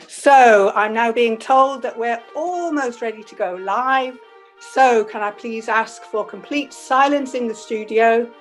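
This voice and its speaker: A woman host gives a formal, courteous scripted welcome. Her voice is fairly high and unhurried, with faint music behind her. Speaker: host of a live radio play.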